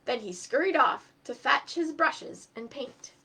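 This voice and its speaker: High-pitched woman hosting children's storytime. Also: measured pace, poor audio. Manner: expressive, talks directly to young listeners, explains step by step. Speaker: storytime host and reader for children. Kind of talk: animated storybook reading and craft instruction for children.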